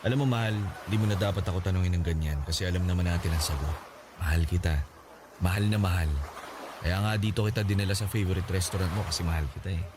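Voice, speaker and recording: Man acting easygoing young suitor, Filipino accent, radio drama, slightly deep voice, teasing conversational tone, noisy background. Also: fast talker, close to an everyday voice. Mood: affectionate, coaxing.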